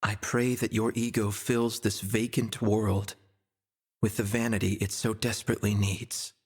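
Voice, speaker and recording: Low, husky, ominous figure. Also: male voice, little pitch movement, moderate pace. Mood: grave. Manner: grave, portentous. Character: solemn, ominous man passing judgment on the world. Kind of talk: dramatic game cutscene dialogue.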